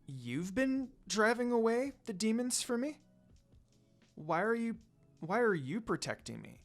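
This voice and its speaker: An earnest young woman from an anime-style story, vulnerable and easily startled, acted out by a reader with a very lively pitch. She sounds open and questioning, with music playing behind her. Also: measured pace. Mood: bewildered. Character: young woman, frightened, hurt, confused, earnest.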